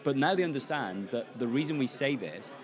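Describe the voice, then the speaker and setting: A man's voice: medium pitch, animated, brisk, a crowd in the background. A man with a Scottish accent, a church planter, explaining in a discussion and asking himself rhetorical questions. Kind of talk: podcast discussion.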